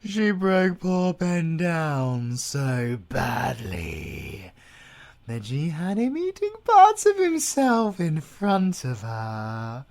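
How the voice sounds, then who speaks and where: A man's voice: mid-range voice, gravelly, darkish tone, full character voice. A British man playing a sadistic, gloating male werewolf villain with a silky, menacing delivery that drops into whispers. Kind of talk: audio roleplay acting.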